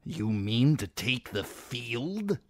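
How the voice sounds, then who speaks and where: A man's voice: medium pitch, animated, slow pace, bright, forceful tone, voice pushed up, full character voice. A man voicing a scowling official who speaks with disbelief. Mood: scornful. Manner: scornful, disbelieving. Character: scowling skeptical male official.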